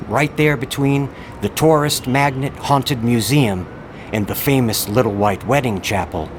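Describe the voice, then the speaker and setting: A man giving a grave, theatrical scripted narration in the style of a true-crime horror tale. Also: lowish voice. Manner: grave, florid, theatrical delivery. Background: music bed.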